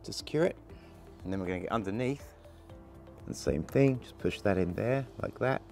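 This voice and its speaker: Man, low voice, gravelly from a cold, chatty how-to, faint music behind. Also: moderate pace. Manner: relaxed, chatty, talks while working with hands. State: hoarse from a cold. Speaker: product installer demonstrating. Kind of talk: casual how-to demonstration.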